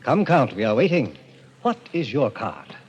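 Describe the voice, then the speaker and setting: A man's voice: mid-range voice, huge pitch swings, normal speed. An actor playing a formal, commanding man presiding over a card draw in an old-time radio drama. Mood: formal, insistent. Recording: dull, noisy old radio recording.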